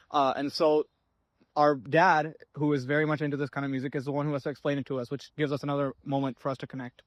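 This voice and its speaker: A teenage boy answers interview questions at a quick clip. His voice is a little higher than most men's, and he fills his pauses with "um" and "uh". The sound is dry. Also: no room sound. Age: teens. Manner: fast-talking, with "um" and "uh" fillers. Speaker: student interviewee.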